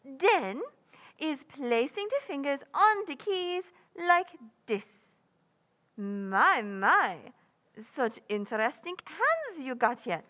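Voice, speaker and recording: A high, swooping voice for a lady music teacher in a radio comedy. She is polite and crafty, mangles her grammar, and takes her time. The sound is slightly dull. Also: big pitch swings, pushed and bright, exaggerated voice. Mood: mysterious, coy. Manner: mangled grammar, progressive verbs, deliberate and polite. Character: foreign woman piano teacher and fortune teller, polite, crafty.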